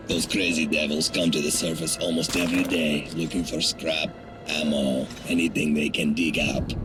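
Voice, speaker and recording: Deep-voiced, gruff wasteland smuggler. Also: male voice. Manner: sardonic, blunt, bossy. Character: gruff, cynical, foul-mouthed male smuggler guide in a post-apocalyptic wasteland. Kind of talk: dramatic video game acting. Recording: loud music bed under voice.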